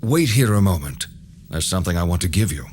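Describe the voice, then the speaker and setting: An actor playing a mysterious, calm old hermit in a video game, speaking in a measured, wry way. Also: male voice, low-pitched, husky, full character voice. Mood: calm, grave. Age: older. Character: mysterious old man, calm hermit helping vampire hunters. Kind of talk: video game cutscene voice acting.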